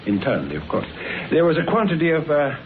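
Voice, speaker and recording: An actor playing a nervous, earnest male witness in a classic radio drama, careful and halting. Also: on the high side, very animated. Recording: muffled, hissy old radio recording.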